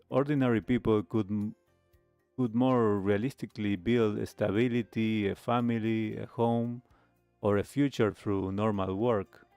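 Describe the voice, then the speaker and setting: A man hosting a talk show, giving reflective commentary in halting, deliberate phrases. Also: fairly low pitch, a little mellow, smooth, no echo.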